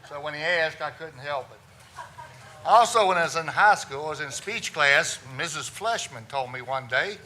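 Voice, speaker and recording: Middle-aged funeral director, loud, resonant, bright-toned, slightly higher voice, folksy joking speaker, faint hiss. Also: male voice, animated, moderate pace. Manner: loud, folksy storyteller with self-deprecating jokes. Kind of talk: humorous presentation to a lawyers' audience.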